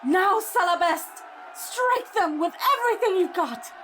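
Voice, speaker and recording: Woman playing a high-pitched, fervent town leader. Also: lively intonation, unhurried, noisy background. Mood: rallying, urgent. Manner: rousing, declamatory battle cries. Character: fervent female town leader rallying her militia. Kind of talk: audio drama acting. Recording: dramatic music behind the voice.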